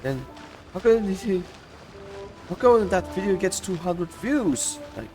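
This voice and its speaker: A man giving casual commentary on his gameplay, halting and often repeating his words. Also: high voice, expressive. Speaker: gamer commentating on own playthrough. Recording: loud game music over voice.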